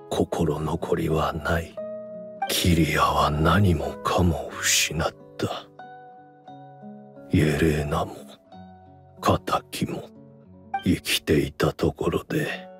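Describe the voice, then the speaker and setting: A man voicing a weary, dignified older scholar in a game, speaking formally in a measured, resigned way. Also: rumbling low, fairly even intonation, a bit bright, soft background music, exaggerated voice. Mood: wistful, resigned. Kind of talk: Japanese game dub dialogue.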